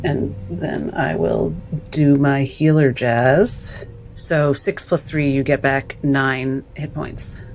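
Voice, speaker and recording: A man's voice: medium pitch, fairly even intonation, slow pace, vocal fry, soft background music, rough recording. A man playing a tabletop role-playing game, talking casually and jokingly through his character's actions.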